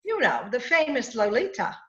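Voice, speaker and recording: An eager, scheming young confidante in a radio play, acted by a woman. Her voice is high and very animated, set in an echoey room with clear music behind. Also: normal speed, dull audio. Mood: brisk, amused. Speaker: radio drama actor. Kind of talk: old-time radio drama acting. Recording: echoey video-call recording.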